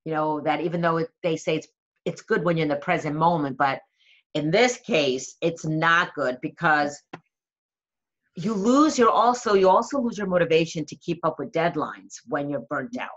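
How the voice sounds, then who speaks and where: A woman's voice: deep voice, animated, normal speed, muffled recording. A woman motivational speaker coaching someone in conversation, asking pointed questions and repeating key phrases for emphasis.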